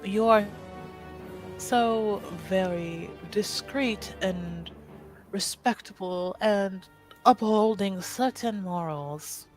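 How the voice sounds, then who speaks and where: A woman's voice: mid-range voice, very slow, faint music behind. A woman playing a haughty, probing noblewoman in a tabletop game, speaking formally and pointedly. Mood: arch.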